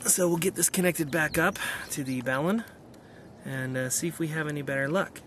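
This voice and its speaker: A man's voice: fairly high pitch, moderate pace, a bit bright, a little hiss or hum. A man speaking casually outdoors as an amateur radio operator, narrating and making calls with hesitant fillers. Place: outdoors on a hillside.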